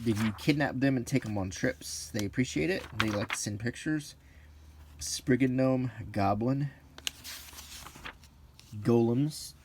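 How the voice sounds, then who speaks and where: Middle aged guy rambling off the cuff, real slow and halting, bit of noise and clicking in the recording. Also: mid-range voice. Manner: rambling, halting, musing aloud in short phrases. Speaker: host of a hobby channel. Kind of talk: casual unscripted commentary while leafing through a book.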